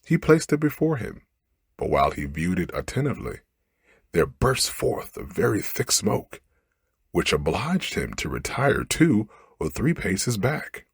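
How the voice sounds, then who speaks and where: A man with a low, very breathy voice narrates a bedtime story in ASMR style. His reading is expressive, with wide melodic movement. It is recorded close to the microphone. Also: unhurried, no room sound.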